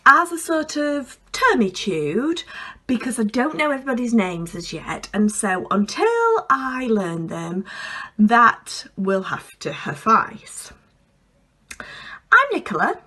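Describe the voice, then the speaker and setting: Comic monologue role played by a woman: pompous female spa boss, self-important, measured pace, projected and lilting upward. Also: fairly high pitch, big pitch swings, pushed and bright, exaggerated voice. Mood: officious, chirpy. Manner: pompous, slow and deliberate, malapropism-prone. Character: pompous, self-important female health spa manager, mangling long words.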